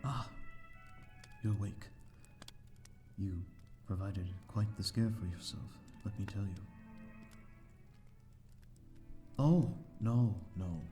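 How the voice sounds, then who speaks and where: A man's voice: fairly low pitch, takes it slowly, music in the background. A man playing a lonely, refined vampire lord with formal, wistful speech in an ASMR audio drama. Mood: gently reassuring. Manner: formal, wistful, archaic wording.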